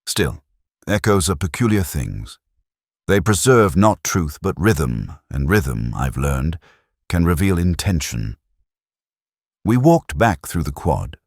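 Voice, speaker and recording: A man playing a brooding, literary police inspector who narrates his case in a measured, reflective, melancholic way, as in a scripted audio drama. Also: deep voice, big pitch swings, a little mellow, no echo, clean studio sound, exaggerated voice. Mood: pensive, wary.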